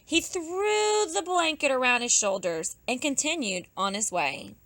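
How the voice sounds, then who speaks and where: A woman teacher narrating a children's storybook aloud, expressively, with drawn-out emphasis for young listeners. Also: high-pitched, lively intonation, fairly bright tone, dry recording. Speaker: pre-kindergarten teacher.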